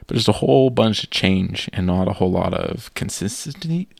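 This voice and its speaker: A young man co-hosting a casual gaming podcast, sounding tired and relaxed, hesitating with lots of fillers. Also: fairly low pitch, moderate pace, gravelly, no echo. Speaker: podcast co-host. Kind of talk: casual chat. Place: small room with green screen.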